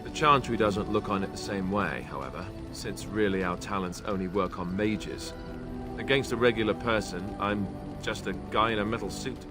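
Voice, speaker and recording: An actor playing a self-deprecating young warrior knight, wry and conversational, in a video game. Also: male voice, on the low side, normal speed, over music, lightly acted. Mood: wry, explaining. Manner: wry, conversational, dryly sarcastic. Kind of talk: video game dialogue acting.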